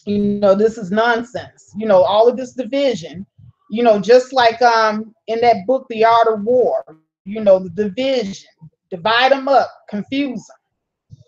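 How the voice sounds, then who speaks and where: A woman's voice: on the high side, unhurried, a little mellow, highs cut off. A woman hosting a casual live stream. She reads viewer comments aloud, answers them, and laughs often. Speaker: channel host reading live chat.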